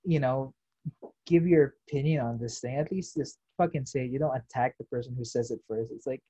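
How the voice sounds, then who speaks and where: A man's voice: medium pitch, brisk, dark tone, husky, no echo, low-quality recording. A young man chatting casually as a podcast host, rambling with lots of fillers and the odd swear word. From United States. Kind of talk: casual chat.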